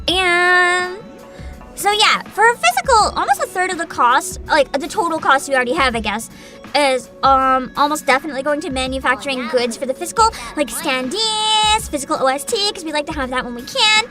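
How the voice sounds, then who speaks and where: A woman's voice: high voice, moderate pace, over music. A young woman streaming casually, answering chat questions with frequent giggles and a playful mock-boss attitude. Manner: giggly, animated, frequent laughter and restarts; playful mock-CEO boasting. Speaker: vtuber streamer.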